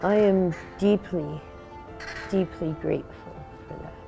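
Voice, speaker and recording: A middle-aged woman speaking reflectively in a personal interview, earnest and halting, pausing mid-phrase. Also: on the low side, vocal fry, dark tone, muffled recording. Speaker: street paper vendor. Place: indoor room. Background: music.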